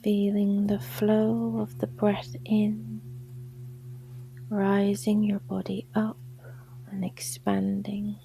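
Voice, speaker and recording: Very slow, hushed woman guiding meditation. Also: medium pitch, completely flat delivery, creaky, slight background noise, poor audio. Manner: soothing, hushed, gentle. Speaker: yoga nidra guide. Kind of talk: guided sleep meditation. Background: gongs and singing bowls.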